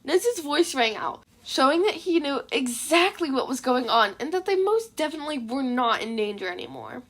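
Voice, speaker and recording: A woman reading fan fiction aloud as an expressive story narrator. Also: high-pitched, normal speed.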